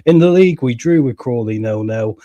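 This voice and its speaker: A man with a cold and a hoarse voice, chatting casually to viewers as he plays a football management game and reads out live chat. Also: medium pitch, animated, fairly quick, mellow, no room sound. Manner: chatty, keeps addressing viewers as guys and mate. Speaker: gaming channel host.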